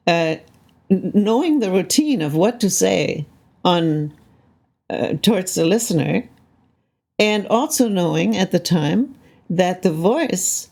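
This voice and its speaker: A woman's voice: lowish voice, vocal fry, mellow. An older woman, a soundscape composer, being interviewed, speaking thoughtfully and often checking in with "right?". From Canada. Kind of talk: reflective conversational interview.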